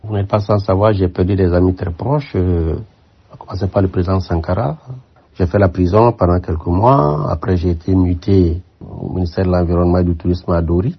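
An older man from Burkina Faso, a former military aide, answering interview questions in a measured, reflective way with frequent hesitations. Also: low-pitched, fairly even intonation, breathy edge. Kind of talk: radio interview. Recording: muffled lo-fi sound.

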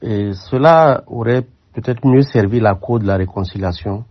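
Low husky older man, measured. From Burkina Faso. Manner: measured, reflective, with frequent hesitations. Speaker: former military aide, interview guest. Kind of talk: radio interview. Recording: muffled lo-fi sound.

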